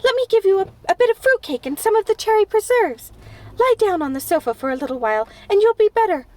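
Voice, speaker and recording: Dramatic chatterbox girl, voiced by a woman reader, high and lively, storybook read-aloud. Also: moderate pace. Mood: pleading. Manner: breathless, dramatic, gushing. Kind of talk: audiobook character voice.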